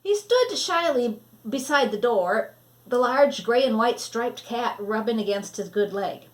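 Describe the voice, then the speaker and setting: A woman reading a children's story aloud expressively, with an animated delivery, as if to listeners in front of her. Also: on the high side. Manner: animated, addresses listeners, pausing to show pictures. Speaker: storybook reader.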